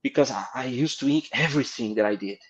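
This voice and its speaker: A middle-aged man from Brazil with a Brazilian accent, a guest artist telling animated, laughing anecdotes in an interview. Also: medium pitch, quick pace, slightly rough, lo-fi sound. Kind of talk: casual storytelling interview.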